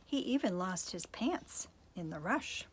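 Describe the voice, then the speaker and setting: A woman's voice: lowish voice, big pitch swings, measured pace, slight background noise. Playful woman reading a picture book. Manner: playful, chuckling, asides to listeners. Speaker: storytime reader, teacher.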